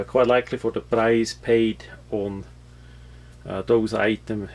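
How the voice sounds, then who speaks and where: Swiss accent guy, not a native speaker, lowish flat voice, rambling halting product explainer. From Switzerland. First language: not English. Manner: halting, rambling, matter-of-fact. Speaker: product reviewer. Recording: clear background noise with hum.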